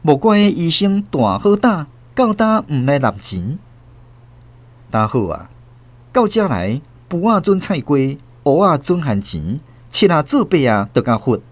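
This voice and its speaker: Animated Taiwanese male storyteller. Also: on the high side, unhurried, no room sound. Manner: expressive, theatrical. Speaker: narrator. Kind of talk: dramatic scripted storytelling.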